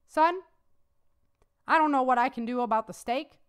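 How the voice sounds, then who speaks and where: A woman's voice: high-pitched, normal speed, clear, resonant tone, close to an everyday voice. Jackson, a stern army commander, as a woman reads him. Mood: approving. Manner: blunt, questioning. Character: stern male military commanding officer.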